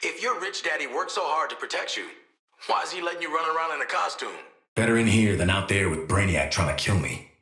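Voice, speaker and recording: A cocky American hitman from a video game, a cynical man who is also a protective dad. His delivery is streetwise and wry, full of slang, with very varied intonation and a bright, forceful edge. The recording has some room echo around it. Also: medium pitch, animated, fairly quick. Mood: wry, confident. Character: cynical, cocky male assassin and protective father. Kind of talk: video game character voice lines.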